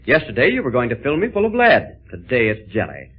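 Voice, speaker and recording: A man's voice: mid-range voice, huge pitch swings, brisk, husky. An actor playing a brave, confident newsreel man, commanding, cool and wry, in a 1930s radio adventure serial. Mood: defiant, wry. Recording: noisy narrow-band 1930s radio recording.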